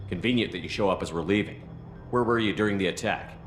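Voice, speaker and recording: An actor playing a no-nonsense, decisive space commander in a video game, speaking bluntly. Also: male voice, sits low, fast, a bit bright, long reverb tail, faint music behind. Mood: suspicious.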